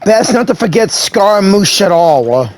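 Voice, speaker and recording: A man's voice: high voice, very animated, moderate pace. A man giving casual gameplay commentary, reacting and thinking aloud as he plays. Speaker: gamer playing on camera or stream. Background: faint game music.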